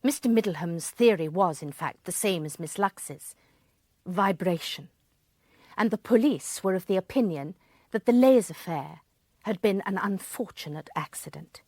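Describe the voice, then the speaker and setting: A woman's voice: fairly low pitch, a little mellow, no echo. An actress playing a conscientious, troubled woman who narrates a radio drama, with a British accent and precise, well-spoken delivery. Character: conscientious, troubled middle-class woman, narrator and reluctant confidante.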